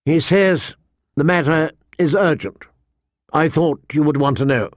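A man's voice: on the high side, measured pace, no room sound, studio quality, voice pushed up, lightly acted. A man voicing a deferential household guardsman in an audiobook, respectful and formal. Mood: apologetic, urgent. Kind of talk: audiobook character dialogue.

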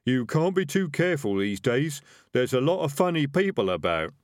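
Man playing a chatty, plodding highway robber. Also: very animated, moderate pace, no echo, studio quality, close to an everyday voice. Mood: relieved, threatening. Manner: plodding, chatty, matter-of-fact. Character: chatty, methodical, cautious male highway robber.

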